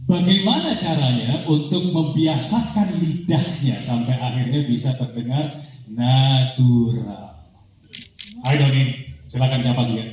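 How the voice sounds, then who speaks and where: A man moderating a press conference, brisk and upbeat, mixing Indonesian with English phrases. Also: medium pitch, darkish tone, a little hiss or hum. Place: event hall. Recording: echoey hall recording from a distance.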